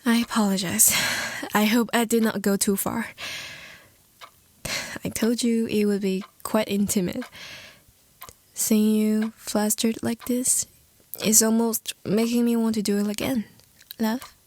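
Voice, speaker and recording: Woman playing a slow-speaking, courtly female vampire. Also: fairly high pitch, flat delivery, a little mellow, no room sound, natural voice. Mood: flustering, adoring. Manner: formal, old-fashioned diction, tender and breathy. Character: courteous, smitten, hungry old-world female vampire. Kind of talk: roleplay audio drama, intimate scripted monologue.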